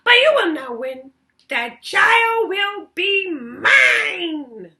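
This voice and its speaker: A woman voicing a gleeful, menacing male goblin from a storybook, with a taunting, cackling, drawn-out delivery. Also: very high-pitched, sing-song, sharp tone, voice pushed up, heavily acted. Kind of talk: storybook read-aloud character voice.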